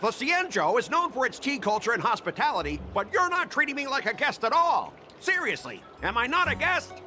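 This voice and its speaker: A man's voice: very high voice, very animated, fairly quick, sharp tone, a little hiss or hum, heavily acted. A man voicing a rude, self-important complaining customer in an anime-style game, whiny and sarcastic, with music behind. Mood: indignant.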